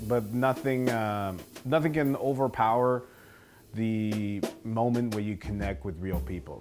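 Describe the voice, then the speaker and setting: A man from Taiwan, a native Mandarin speaker, talking in a relaxed, reflective way in a documentary interview in a small hotel room. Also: fairly low pitch. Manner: relaxed, reflective, soft-spoken. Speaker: comedian. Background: music.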